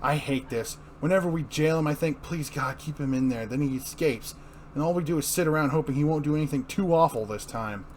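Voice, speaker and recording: A man's voice: a little high, brisk, natural voice. Guy doing worn out old cop dad, fretting, comic book reading, noisy. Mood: weary worry. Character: anguished older police father, weary and fretful. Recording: clearly noisy room recording.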